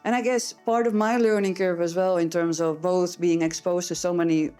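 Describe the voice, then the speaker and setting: A woman's voice: lowish voice, steady tone, normal speed, warm tone, clean tone, faint music behind. A middle-aged woman with a Dutch accent, speaking enthusiastically and emphatically as a guest in a conversational interview. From Netherlands. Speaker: interview guest, geothermal industry professional.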